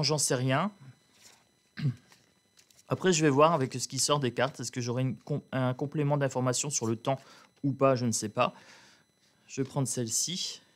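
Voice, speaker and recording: A man giving a casual, outspoken card reading to his viewers, drawling with many fillers and restarts. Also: mid-range voice. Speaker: card reader. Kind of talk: casual tarot reading to camera.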